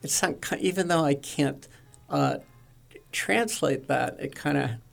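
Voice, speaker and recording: A man interviewing young comic book creators, speaking haltingly with many fillers and restarts. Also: fairly high pitch, gravelly, faint music behind. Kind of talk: radio interview.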